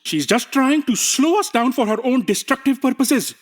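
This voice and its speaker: A woman's voice: sits high, lively intonation, normal speed, dry recording. A woman playing a cynical, long-suffering copy aide with a dry, sardonic manner in a scripted comedy audio drama. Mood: alarmed.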